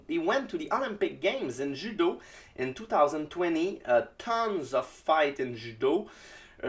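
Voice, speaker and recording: Man, Québécois French accent, native French speaker, slow, hesitant, lots of ums, casual solo commentary. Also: on the high side. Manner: halting, many ums and uhs, searches for English words. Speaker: jiu-jitsu analyst.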